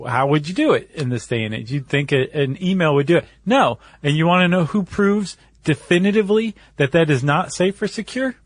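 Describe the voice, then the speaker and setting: Higher-voiced man, dry close sound. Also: expressive, fairly quick, few pauses, dry recording. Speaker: podcast co-host. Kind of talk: casual explanatory podcast chat.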